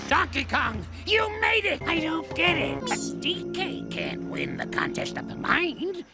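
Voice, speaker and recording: An actor playing a cranky old male ape in a cartoon, gravelly and crotchety, bossing others around and boasting. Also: very high-pitched, huge pitch swings, unhurried, full character voice. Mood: bossy. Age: older. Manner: insulting, bossy, boastful. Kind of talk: cartoon voice acting. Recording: cartoon soundtrack with music behind.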